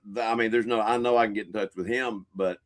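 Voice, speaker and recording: A man with a deep voice, co-hosting a casual interview chat, jokey and self-mocking. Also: steady tone, fast talker, a loud crowd.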